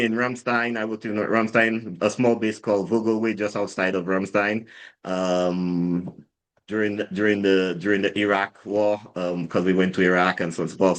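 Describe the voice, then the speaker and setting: Saint Lucian man telling a rambling story. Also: on the low side. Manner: rambling, many fillers and restarts, animated when retelling. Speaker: guest, drinks brand founder. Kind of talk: casual storytelling interview. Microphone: podcast mic.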